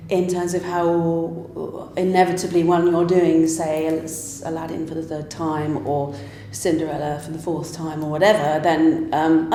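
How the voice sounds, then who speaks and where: A woman's voice: fairly low pitch, mellow, slight background noise. A British woman, a pantomime writer and director, speaking emphatically and firmly in a casual interview. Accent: British English. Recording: roomy echo.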